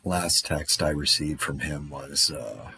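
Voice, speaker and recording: A man as a wry, low-voiced apocalypse survivor. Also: takes it slowly, gravelly, bright, forceful tone, breathy edge, no room sound, exaggerated voice. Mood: musing. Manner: rambling, darkly wry monologue. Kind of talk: audio drama monologue.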